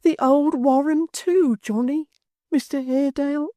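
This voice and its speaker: A woman's voice: high-pitched, sing-song, unhurried, mellow, no echo, pitched above the performer's own voice. A woman voicing a little, weepy, tender-hearted male church clerk who whimpers and pleads. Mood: blubbering.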